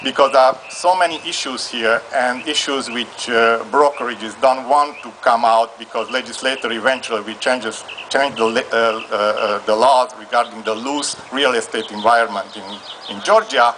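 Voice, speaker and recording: Hungarian-accented man, bright voice. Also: mid-range voice, a little hiss or hum, rough recording. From Transylvania, Romania. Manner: long-winded, halting, with many fillers. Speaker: audience member asking a legal question. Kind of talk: live call-in style legal question. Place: live event at a law school.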